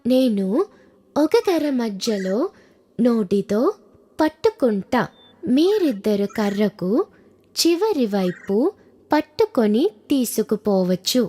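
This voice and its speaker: A woman voicing an eager, earnest tortoise in a children's animated fable. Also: fairly high pitch, slow pace, pauses often, full character voice. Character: desperate, pleading, eager little talkative tortoise. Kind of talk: children's animated moral story.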